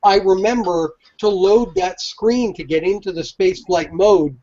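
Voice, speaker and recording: A man's voice: high-pitched, unhurried, warm tone. A man chatting casually on a panel video call, effusive and given to folksy exclamations. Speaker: collector panelist. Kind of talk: casual chat. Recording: dull lo-fi video-call audio.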